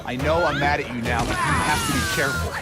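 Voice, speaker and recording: A man's voice: a little high, huge pitch swings, quick pace. A man voicing a worried teenage boyfriend sidekick in a game cutscene, nervous and dryly sarcastic. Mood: anxious, wry. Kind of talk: video game cutscene acting. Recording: loud music behind the voice.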